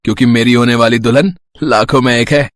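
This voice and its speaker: A man playing a smug, doting young bridegroom from a rich family in a dramatised story, smooth and self-assured. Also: medium pitch, fairly even intonation, brisk, fairly bright tone, no room sound, clean studio sound, natural voice. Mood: proudly affectionate.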